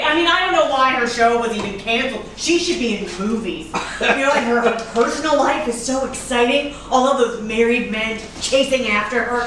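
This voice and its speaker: Actress as bubbly star-struck gossip, highish voice, gushing, chatty, stage reading, heavily echoing noisy room. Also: female voice, fairly bright tone. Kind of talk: stage acting at a script reading. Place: large room, staged reading recorded from afar. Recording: echoey room, far from mic, noisy.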